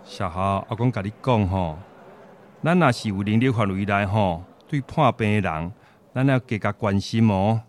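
An older man with a Taiwanese accent, acting a kindly, ailing grandfather in a radio drama, gentle and folksy. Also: lowish voice, natural voice. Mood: kindly, easygoing. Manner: gentle, folksy, halting when unwell.

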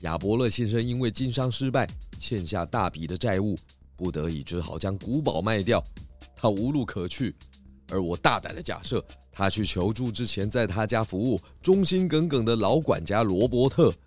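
A man plays a clever cartoon pig detective in a children's radio play. The voice is on the low side, jovial and self-assured, laying things out clearly over faint music. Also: lively intonation, moderate pace, close to an everyday voice. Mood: explaining. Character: confident, clever pig detective, adult male. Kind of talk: children's audio drama acting.

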